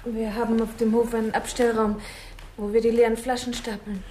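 A woman plays a submissive waitress in an old radio crime play. Her voice is fairly high and hesitant, with faint hiss on the recording. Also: little pitch movement, brisk, close to an everyday voice. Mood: subdued. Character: timid, obedient hotel waitress. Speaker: radio drama actor. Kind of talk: 1960s German radio crime drama acting.

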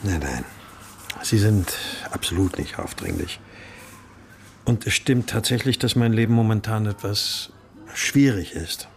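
An actor playing a brooding, grieving writer who narrates a radio drama in a subdued, introspective manner. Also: male voice, fairly low pitch, a little slow, vocal fry, slight background noise. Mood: guarded, hesitant. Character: brooding married male writer, grieving missing daughter. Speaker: narrator and lead character. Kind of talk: first-person radio drama narration and dialogue.

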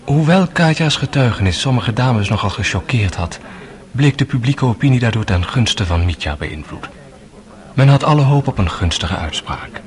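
An actor playing a sober, sympathetic man narrating a trial in a radio drama, in measured storytelling. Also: lowish voice, close to an everyday voice.

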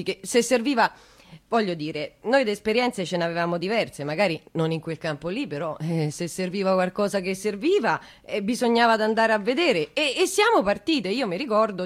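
A woman's voice: mid-range voice, close to an everyday voice. An actress playing a flustered, chatty woman witness in a radio drama, rambling and interrupting herself defensively. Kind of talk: naturalistic radio drama monologue.

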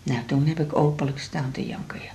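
Dark-voiced anxious older woman patient. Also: rumbling low, fairly quick, creaky. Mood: wry, resigned. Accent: Netherlands Dutch. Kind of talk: radio drama acting.

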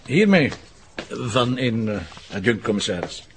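An actor playing a dry, wry middle-aged police detective in a radio drama, with an informal manner and a Flemish accent. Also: male voice, mid-range voice, big pitch swings, measured pace, a little hiss or hum. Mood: dry, probing.

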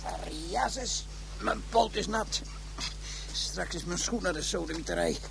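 Fussy complaining detective, animated higher voice, grumbling delivery, radio drama with audible noise. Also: male voice, a little slow, vocal fry, full character voice. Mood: whiny, grumbling. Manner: whiny, grumbling. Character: fussy, complaining male detective, squeamish and vain. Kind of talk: naturalistic radio drama acting. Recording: noisy radio drama recording.

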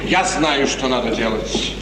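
An actor playing a smooth, scheming, cynical courtier with a glib, insinuating, theatrical manner in 1950s radio tragedy. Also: male voice, mid-range voice, a little slow, crowd noise, close to an everyday voice. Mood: confident, persuasive. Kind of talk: 1950s classical stage tragedy radio acting. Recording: noisy 1950s radio recording, echoing hall.

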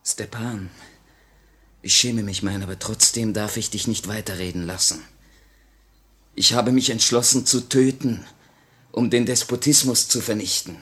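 An actor playing an anguished, idealistic young Russian revolutionary, earnest and theatrical, in a 1950 radio play. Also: male voice, on the low side, unhurried, pauses often, fairly bright tone. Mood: proud, resolute. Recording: vintage 1950 radio recording.

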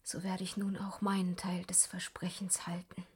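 An actress playing a gentle, compassionate young woman speaking in soft breathy whispers in a radio play. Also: lowish voice, monotone, normal speed, faint music behind, lightly acted. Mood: anxious, resolved.

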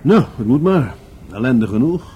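An actor playing a gruff, pragmatic police detective in a radio play, curt and drily chiding. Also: male voice, medium pitch, sing-song, normal speed, mellow, highs cut off, slight background noise, close to an everyday voice. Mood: resigned. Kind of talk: Dutch radio play acting.

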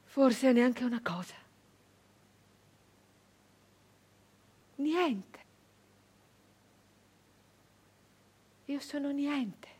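An embittered, defiant brigand woman from the old Italian south, in a notably high voice. She delivers a theatrical monologue with insistent repetition and weighty pauses, able to move between hushed and forceful delivery. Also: breathy edge, full character voice. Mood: haunted, subdued.

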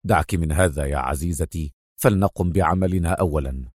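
Man voicing a stern, deep-voiced wounded hero. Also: a little fast, a little mellow, breathy edge, no echo, clean studio sound. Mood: dismissive, firm. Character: stern wounded male hero, resolute, curt, angry.

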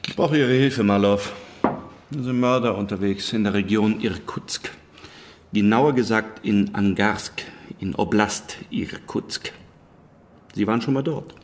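An actor playing a calculating Soviet party leader in a radio drama, speaking in a formal, measured, commanding manner. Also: male voice, fairly low pitch, a little hiss or hum, natural voice. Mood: grave, insistent. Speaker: supporting character.

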